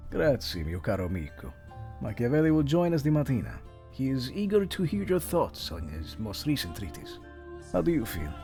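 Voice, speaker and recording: A man playing a seasoned, proud and loyal Italian assassin in an audio drama, measured, dropping Italian words into his talk. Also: mid-range voice, huge pitch swings, mellow. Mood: gentle. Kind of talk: scripted audio drama dialogue. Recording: music behind the voice.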